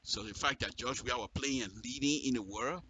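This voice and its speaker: A man's voice: mid-range voice, brisk, sharp tone, a little hiss or hum, poor audio. A man with a Liberian accent giving impassioned political commentary in direct address, emphatic and repeating phrases for effect. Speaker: radio commentator.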